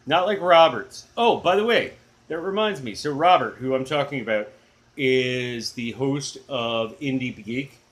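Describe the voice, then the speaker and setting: A middle-aged man with a low, booming baritone, hosting a music channel in a rambling, enthusiastic, self-deprecating chat. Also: lively intonation, measured pace. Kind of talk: casual chatty video blog.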